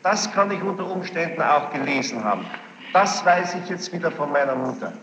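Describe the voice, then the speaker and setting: A man formally questioning a witness as a judge, probing and insistent, with halting phrasing and a gravelly voice. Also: fairly high pitch, animated, a crowd in the background. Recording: noisy, muffled archival tape, echoey hall.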